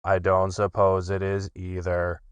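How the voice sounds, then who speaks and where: A man voicing a gloomy, sarcastic old male donkey with a slow, flat, sardonic drawl. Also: low voice, clean tone, dry recording, dull audio, pitched below the performer's own voice.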